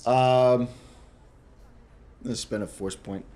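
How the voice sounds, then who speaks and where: Low-voiced man at a tabletop game. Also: steady tone, unhurried, a little mellow. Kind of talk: casual game chat.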